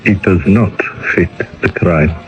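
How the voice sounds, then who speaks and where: An actor playing a wounded, resentful exiled husband in a radio drama, halting and plaintive. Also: male voice, deep voice. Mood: hurt, bitter. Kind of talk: 1980 radio drama acting. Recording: muffled old radio recording.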